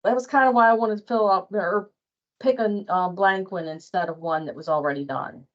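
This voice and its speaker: A woman with a mid-pitched voice gives an informal workplace walkthrough. She speaks hesitantly, like a presenter feeling her way through the steps. The sound is muffled and band-limited, as on an online meeting. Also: normal speed. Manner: hesitant, checks with colleague for confirmation. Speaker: training presenter.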